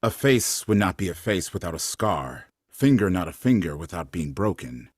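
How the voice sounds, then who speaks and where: A man with a husky voice performing short, emphatic phrases in a dramatic staged reading. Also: sits low, very animated, moderate pace.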